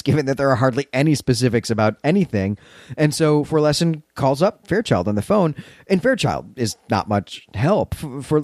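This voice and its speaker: A man's voice: medium pitch, a little mellow, dry recording, clean studio sound. A man co-hosting a podcast, giving a conversational, wry recap and commentary on a story. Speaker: podcast co-host.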